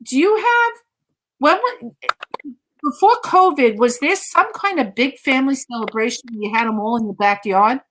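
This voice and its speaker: A woman's voice: high voice, animated, moderate pace, a bit bright, poor audio. A woman giving a conversational live mediumship reading, insistently repeating phrases and relaying messages as quotes.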